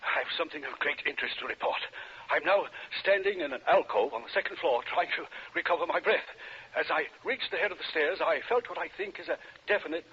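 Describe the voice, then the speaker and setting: An actor playing a solemn, self-important psychic doctor who hunts ghosts, speaking gravely in formal phrases in 1940s radio style. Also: male voice, a little high, fast talker, sharp tone, full character voice. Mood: shaken, solemn. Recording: muffled 1940s radio recording.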